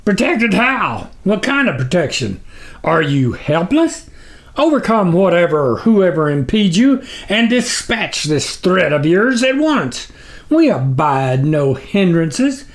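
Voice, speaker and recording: A man's voice: high voice, very animated, slow, pitched above the performer's own voice, heavily acted. A man voicing a pompous, impatient demon sublord, weary and scornful, in a storybook reading.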